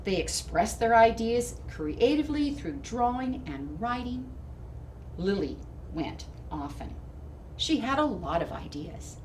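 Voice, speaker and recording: A woman reading a storybook to children in expressive narration, with deliberate, emphatic phrasing. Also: fairly high pitch. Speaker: storybook reader. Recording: distant mic with clear background noise.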